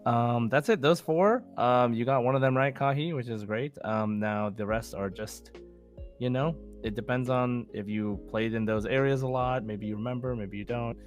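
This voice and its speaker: A man's voice: medium pitch, normal speed, faint music behind. A man hosting a podcast in a casual, chatty style, as a quizmaster.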